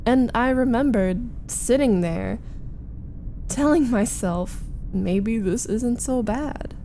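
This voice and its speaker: A woman voicing a flustered, needy young roommate in a chatty, halting, defensive roleplay audio, confiding as she vents. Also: a little high, expressive, dark tone, slight background noise. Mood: fond, wistful. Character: flustered, needy young female roommate venting about a date. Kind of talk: roleplay ASMR audio acting.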